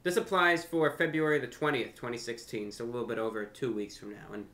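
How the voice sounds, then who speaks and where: Young guy hosting a vlog, bright forward voice, talks kinda formal and careful, repeats words as he goes. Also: mid-range voice, steady tone, fast. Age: young adult. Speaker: YouTube host and amateur radio broadcaster. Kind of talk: unscripted talk to camera. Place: furnished room at home, indoors.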